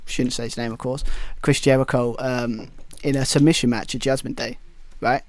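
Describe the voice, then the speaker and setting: A man's voice: mid-range voice, flat delivery, moderate pace, no echo. A man from Britain co-hosting a wrestling podcast, talking casually in rambling, self-correcting, opinionated stretches. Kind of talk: casual chat.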